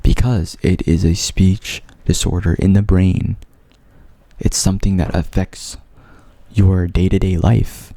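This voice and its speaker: A man's voice: low voice, level tone, slow pace, dark tone, no echo. A young man with a stutter, earnestly telling his own story to his viewers, repeating sounds and words as he goes. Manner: earnest, open. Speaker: vlogger. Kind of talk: personal storytelling to camera.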